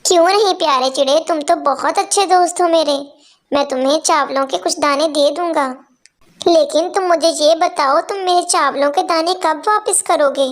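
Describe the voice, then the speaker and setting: A woman's voice: sits high, normal speed, close to an everyday voice. A woman voicing a friendly but careful rich male crow in a cartoon story, obliging and businesslike.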